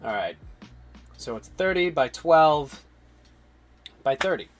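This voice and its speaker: A man in his twenties with a slightly raspy voice, rambling casually and swearing as he streams his 3D modeling work and answers chat. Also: fairly high pitch, expressive, takes it slowly, soft background music. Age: 20s.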